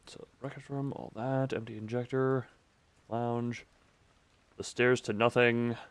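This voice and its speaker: A man's voice: mid-range voice, level tone, takes it slowly, clean tone, no room sound. A man doing casual live video game commentary, rambling playfully to his viewers and repeating himself as he exclaims.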